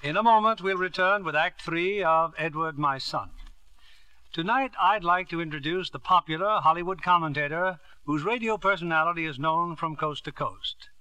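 A male announcer introducing acts and guests in a 1950s radio broadcast, in a polished, smooth manner. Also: fairly high pitch, normal speed, sharp tone, dry recording, poor audio.

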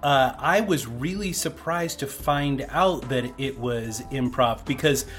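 A man's voice: mid-range voice, takes it slowly, fairly bright tone, soft background music. A middle-aged man co-hosting a podcast introduction in a conversational style. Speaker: podcast co-host, actor.

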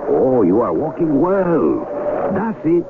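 An actor playing a bossy, suspicious doctor from an isolated village in a radio drama. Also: male voice, medium pitch, wildly swooping pitch, slow. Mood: commanding. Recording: tinny, very noisy old radio broadcast.

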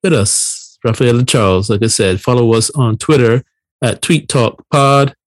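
Male podcast co-host, late thirties, from Barbados. Casual delivery, mid pitch, dry recording. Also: unhurried, dry recording. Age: late 30s.

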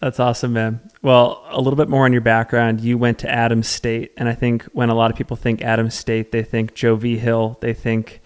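A man's voice: mid-range voice, completely flat delivery, a little fast, few pauses, clean tone. A man hosting a podcast interview in a casual, informal, conversational way. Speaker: podcast host.

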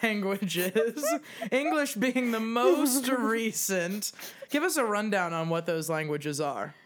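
A woman co-hosting a podcast, reacting fast with jokes and laughter. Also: mid-range voice, expressive. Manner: joking, quick reactions and laughs. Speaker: podcast co-host. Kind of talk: casual podcast chat.

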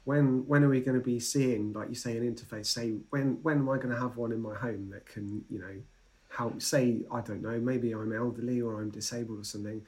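A man's voice: mid-range voice, steady tone, brisk, a little mellow. A man hosting a podcast who asks his guest loose, rambling questions full of fillers. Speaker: podcast interviewer. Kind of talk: casual interview questions.

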